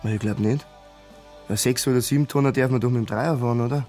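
An actor playing an evasive small-town early pensioner in a radio drama, laconic and defensive, with a Bavarian accent. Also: male voice, medium pitch, quick pace, dark tone, soft background music, lightly acted. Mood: grudgingly explaining. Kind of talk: naturalistic radio drama dialogue.

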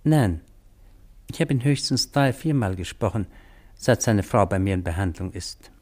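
An actor playing a talkative, worldly doctor with dry wit and a gossipy streak in a German radio crime drama. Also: male voice, lowish voice, animated, moderate pace, darkish tone, natural voice. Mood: chatty, wry. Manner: talkative, wry, slightly gossipy.

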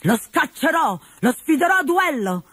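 A woman's voice: high voice, a little slow, no room sound, pitched above the performer's own voice, exaggerated voice. A woman voicing a cheerful, carefree young male servant in a storybook reading. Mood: boastful. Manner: upbeat, lively. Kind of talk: storybook character voice.

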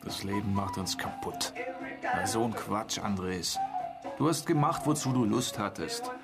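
An actor playing a blunt, teasing old school friend in a naturalistic German radio crime drama, with a dismissive manner. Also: male voice, on the low side, animated, a little slow, creaky. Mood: sceptical, blunt. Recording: music under the dialogue.

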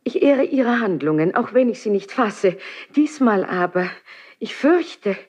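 A woman's voice: mid-range voice, animated, measured pace, a little mellow, highs cut off. An actress playing a dignified, anguished, passionate queen in a classical German radio drama, with grave, formal theatrical delivery. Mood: anxious, dignified.

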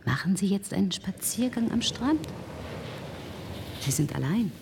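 An actress playing an ambitious computer scientist in a German radio drama, formally and theatrically presenting her invention, at times hushed and coaxing. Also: mid-range voice, big pitch swings, a little slow, vocal fry, slight background noise.

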